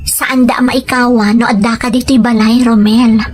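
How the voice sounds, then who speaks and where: A woman's voice: on the high side, little pitch movement, moderate pace, darkish tone, close to an everyday voice. A woman playing a determined wife and mother in a dramatized story, earnest and persuasive. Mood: pleading, insistent. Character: determined wife and mother set on working abroad. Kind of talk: dramatized first-person storytelling with dialogue.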